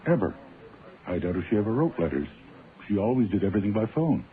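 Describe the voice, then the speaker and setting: A man's voice: lowish voice, big pitch swings, fast talker, a little hiss or hum. An actor playing a dapper, guarded fashion-house salesman, stiffly polite and clipped, in a radio drama. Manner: clipped, reserved, formal. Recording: tinny band-limited old radio.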